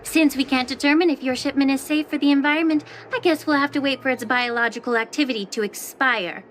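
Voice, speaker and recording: An actress playing a calm, long-lived alchemist official who speaks with dry, teasing sarcasm in a video game. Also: female voice, sits high, fast talker, faint music behind, natural voice. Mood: wry. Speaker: head alchemist.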